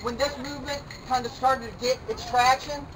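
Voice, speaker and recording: A man's voice: very high voice, steady tone, normal speed. A young man giving a rousing rally speech through a megaphone at an outdoor protest, calling for the crowd's response. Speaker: rally speaker. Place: outdoor city plaza protest. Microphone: handheld megaphone, caught by a camera some way off. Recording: distant megaphone amid a noisy crowd.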